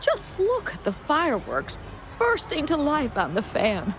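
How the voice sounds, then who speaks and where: An actress voicing a kindly, frail elderly mother in a video game, with a gentle, hesitant, unhurried manner. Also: high-pitched, huge pitch swings, a little hiss or hum, heavily acted. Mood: delighted wonder. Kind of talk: scripted video game voice acting. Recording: music playing behind the voice. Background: game music.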